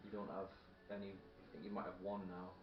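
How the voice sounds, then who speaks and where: A man's voice: sits low, monotone, fairly quick. A man chatting casually as he plays a tabletop wargame and explains the rules. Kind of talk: casual game-table chat. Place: indoor room at a gaming table. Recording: dull, echoey room recording with background noise. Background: faint background noise, radio playing.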